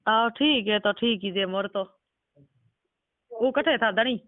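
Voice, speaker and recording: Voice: mid-range voice, slow, no echo. Insistent Rajasthani questioner on a muffled phone. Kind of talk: informal phone conversation, questioning. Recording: muffled band-limited phone call recording.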